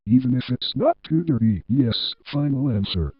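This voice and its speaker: A man's voice: mid-range voice, animated, normal speed, slightly rough, no echo. A man playing a stubborn, contrary contestant in a comedy sketch, giving insistent, clipped answers. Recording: dull lo-fi audio.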